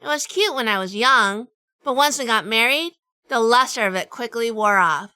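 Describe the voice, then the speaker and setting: A woman's voice: a little high, normal speed, sharp tone, clear, resonant tone, dry recording. A woman playing a wry, world-weary detective in a noir audio drama, with a dry, sardonic delivery. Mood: wry, fond. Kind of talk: hardboiled noir radio drama narration and dialogue.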